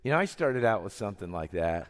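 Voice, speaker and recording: A middle-aged man preaching a sermon, his voice deeper than usual from a cold, telling stories in a conversational way with frequent chuckles. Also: big pitch swings, fairly quick, long reverb tail. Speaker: pastor.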